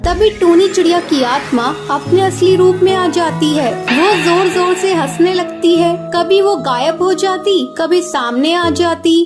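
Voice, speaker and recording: A woman's voice: very high voice, unhurried, few pauses. A woman narrating a scripted Hindi cartoon story. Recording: music under the narration.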